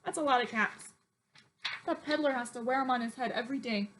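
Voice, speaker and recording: A woman teacher reading a picture book to young children in an expressive, sing-song, emphatic way and putting questions to them. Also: high-pitched, a little fast, noisy background.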